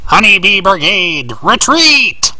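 A man's voice: high voice, very animated, slow pace, background noise, full character voice. A man playing a pompous, deluded soldier who thinks he is a bee superhero, grandiose and melodramatic, in an anime parody. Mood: urgent.

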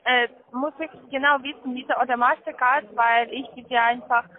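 A woman with a foreign accent giving a sales pitch over the phone, in halting, careful non-native phrasing. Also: steady tone. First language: not German. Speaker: call centre account manager. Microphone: telephone. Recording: muffled narrow-band phone line.

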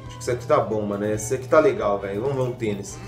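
A man's voice: fairly low pitch, racing, a little mellow, roomy sound, faint music behind. A young adult man giving casual, rambling gameplay commentary, full of slang and self-mocking jokes, a little congested from a cold. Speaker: gaming youtuber.

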